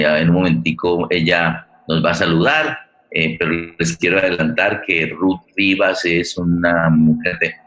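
A man with a Colombian accent hosting a streamed program, speaking formally in halting, wordy, deliberate phrases. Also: sits low, sharp tone. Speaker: host of a streamed theatre program. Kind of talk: formal spoken introduction. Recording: roomy echo on the voice.

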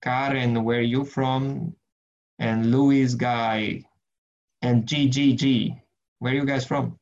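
Man, mid pitch, gravelly, group study leader on a lo-fi video call. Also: fairly even intonation, takes it slowly, darkish tone. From Brazil. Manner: earnest, rambling, frequent restarts and fillers. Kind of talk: casual teaching and prayer in an online group session.

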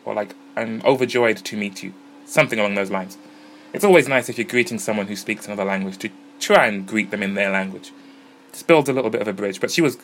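Easygoing podcast host, low voice. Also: male voice, a bit bright. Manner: chatty, easygoing, self-deprecating. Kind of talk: casual podcast narration and interviews. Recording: noisy pub smoking area with crowd.

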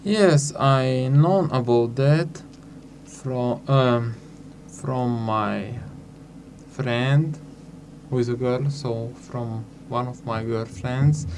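Slow, halting man. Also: mid-range voice, a little mellow, a little hiss or hum. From Slovakia. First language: Hungarian. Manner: halting, searching for words. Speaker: guest. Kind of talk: casual interview answers.

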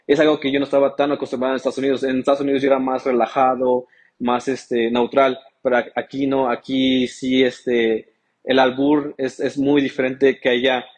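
A young man whose first language is English and who grew up in the United States speaks in a steady, flat tone as a guest in an interview. He hesitates often, restarts his sentences and fills gaps with small stalling words. His pitch stays at a middle level for a man. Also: moderate pace. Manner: hesitant, many fillers and restarts. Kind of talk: casual interview talk.

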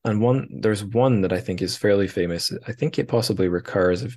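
A man's voice: on the low side, a little fast, a little mellow. A man hosting a casual interview podcast, musing aloud with hesitant, tentative phrasing. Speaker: podcast host.

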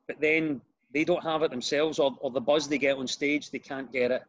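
A man's voice: a little high, fairly even intonation, moderate pace, lo-fi sound, crowd noise. A Glaswegian comedian in his thirties chatting casually, telling self-deprecating stories. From Scotland. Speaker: comedian guest. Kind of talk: casual chat.

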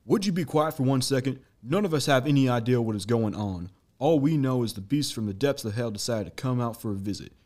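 A man's voice: mid-range voice, expressive, a little fast, hardly any pauses, a little mellow, natural voice. A man playing a stern, practical frontier survivor with a curt, authoritative manner in an audio drama. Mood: stern, guarded. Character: stern, practical Wild West man, wary protector. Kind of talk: amateur audio drama acting.

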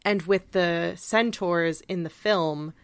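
A woman's voice: fairly low pitch, slow, clear, resonant tone, no echo. Woman co-hosting, dry close sound. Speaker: podcast co-host. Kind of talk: casual conversational discussion.

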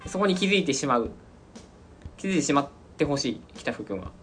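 A man chatting casually and enthusiastically as he pitches drama ideas, acting out imagined lines. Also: big pitch swings, fast talker, fairly bright tone, slight background noise. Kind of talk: casual creative brainstorming chat.

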